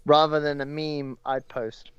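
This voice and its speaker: A man's voice: fairly high pitch, level tone, a little slow, fairly bright tone, clear, resonant tone, no room sound. A twenty-year-old man from England, a podcast guest who chats casually and rambles with frequent restarts. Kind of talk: casual chat. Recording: dry, slightly dull audio.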